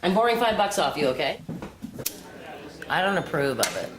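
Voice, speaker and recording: A brash magazine writer, a woman with a fairly low voice that swings about with lots of expression. She is blunt, bossy and teasing, in natural audio drama dialogue. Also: sing-song, moderate pace, background noise. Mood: teasing, pushy.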